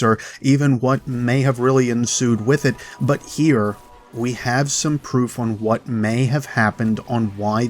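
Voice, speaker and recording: A man hosting a game lore video, delivering narrated commentary directly to viewers with dramatic pauses. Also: medium pitch. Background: background music.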